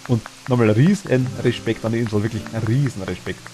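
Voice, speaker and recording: A man's voice: fairly low pitch, fairly quick, dark tone, slight background noise. A man with a Bavarian accent chatting casually and enthusiastically while streaming a game, laughing easily.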